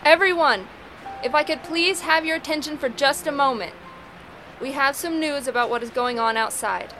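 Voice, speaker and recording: A woman playing a calm, reassuring leader of survivors in an audio drama. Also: sits high.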